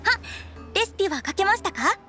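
A perky female restaurant keeper for an anime game, very high and bright. She sounds friendly and excitable, with faint music underneath. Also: fairly quick, full character voice. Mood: eager, excited. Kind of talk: Japanese anime game voice acting.

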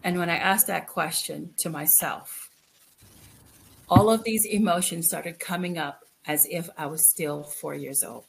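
A middle-aged woman from the Philippines giving a candid, reflective personal account as an interview guest, halting and repeating phrases for emphasis. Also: fairly low pitch, creaky. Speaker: guest, author. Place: indoor room, video call. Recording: noisy video call.

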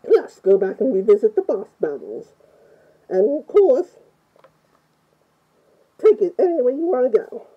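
A woman's voice: fairly high pitch, very animated, a little slow, long pauses, breathy edge, muffled recording, full character voice. A woman playing a timid, easily scared Italian plumber man with a put-on Italian accent, rambling nervously through a game review with many "oh"s. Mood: nervous chatty. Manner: nervous, rambling, frequent "oh" and "mamma mia". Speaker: fan playing a video game character.

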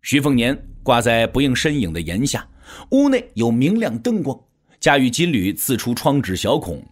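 Lively male audio drama narrator. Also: medium pitch.

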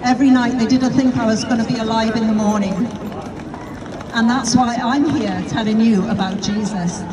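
A middle-aged British woman gives an earnest open-air sermon on a crowded shopping street. She speaks into a handheld mic over a street speaker, heard from some distance, with a steady murmur of shoppers all around. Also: on the high side, moderate pace, crowd noise. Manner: earnest, with repeated rhetorical questions. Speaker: street preacher. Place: busy pedestrian shopping street outdoors. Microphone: handheld mic through a street speaker.

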